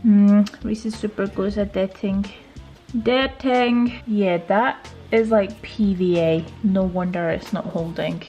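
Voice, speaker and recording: A woman's voice: mid-range voice, fairly even intonation, slow pace, warm tone, soft background music. A woman from Estonia talking casually and playfully to herself and her viewers, like a home vlogger. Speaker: vlogger, nail technician. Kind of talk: casual chatty vlog voice-over while tidying.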